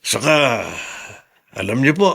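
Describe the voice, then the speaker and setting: A man's voice: medium pitch, animated, slow pace, vocal fry, a bit bright, heavily acted. A man playing a loyal, deferential driver in a Filipino radio drama, respectful and hesitant, with a Filipino accent. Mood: sympathetic. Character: loyal, deferential driver consoling his boss.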